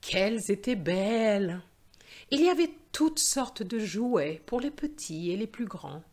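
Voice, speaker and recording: A woman with a mid-range voice speaks slowly and deliberately, like a teacher reading a story to learners. Her delivery is expressive, with careful phrasing that is easy to follow. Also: mid-range voice. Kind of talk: slow, clear story reading for language learners.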